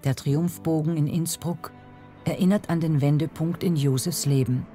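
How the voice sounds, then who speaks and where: A woman reading scripted documentary narration in a measured manner with dramatic pauses. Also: deep voice, steady tone, mellow. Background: faint music.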